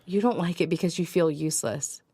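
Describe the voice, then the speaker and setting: A woman's voice: lowish voice, a little fast, dark tone, long reverb tail, natural voice. A woman playing a weary, determined survivor, narrating a first-person audio drama and acting out her dialogue. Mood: concerned, insistent. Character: Ava, weary, determined young woman surviving an alien invasion. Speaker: narrator and lead actor.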